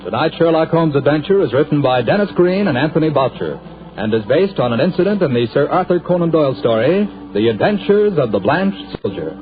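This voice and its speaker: A male radio announcer in 1940s style, reading ads in a smooth, cheerful, salesy way. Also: a little high, lively intonation, a little fast, faint music behind. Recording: tinny 1940s radio broadcast.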